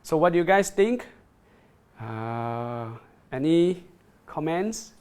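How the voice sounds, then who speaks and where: A man hosting a live product demo, talking off the cuff as he reads out viewer comments. His voice sits a touch higher than most men's. He stops and restarts a lot and keeps asking "right?". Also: expressive, a little mellow, clean tone. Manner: halting, repeats words, checks in with "right?". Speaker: product presenter on a livestream.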